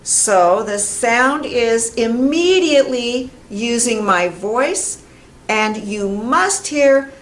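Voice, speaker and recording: A middle-aged American woman giving a deliberate, emphatic pronunciation lesson, carefully enunciating sounds and words. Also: fairly high pitch, lively intonation. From United States. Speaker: English pronunciation teacher. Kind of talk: instructional pronunciation lesson to camera. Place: small classroom with whiteboard, indoors. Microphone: camera mic at a distance. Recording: roomy, distant camera mic, faint noise.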